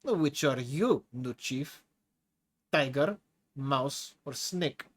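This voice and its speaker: A cocky, hulking clawed prisoner growls out broken English in a thick accent, as a male narrator puts him on. His pitch swings wide when he gets worked up, and he savors every boast. A storybook creature voice. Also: expressive, very slow. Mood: menacing. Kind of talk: audiobook character voice.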